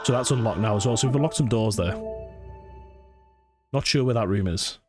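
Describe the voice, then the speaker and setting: A man with a cold and a sniffly voice, casually commentating a horror game and reading its notes aloud. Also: on the low side. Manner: chatty, thinks aloud, reads text slowly. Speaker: let's play gamer. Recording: faint game music behind.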